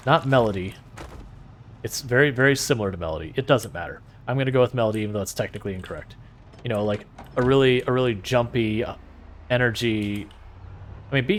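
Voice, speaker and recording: A man with a soothing voice chatting casually with his stream viewers as a game streamer, relaxed and rambling, often restarting his sentences. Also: on the low side, slight background noise.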